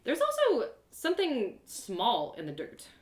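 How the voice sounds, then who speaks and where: Audio drama lead: excitable young adventuress voiced by a woman, self-mocking storyteller, very animated intonation, roomy live reading. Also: on the high side, measured pace. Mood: cautious. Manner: theatrical, breathless exclamations, gasps, self-deprecating humour. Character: excitable young heroine narrating her woodland adventure.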